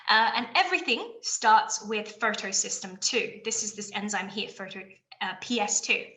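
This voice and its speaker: A woman gives an enthusiastic chemistry lecture, stopping often for ums and restarts. Her voice is bright and sits a bit high. The room around her sounds echoey. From China, raised in Australia. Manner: enthusiastic, many fillers and restarts. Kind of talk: webinar science talk.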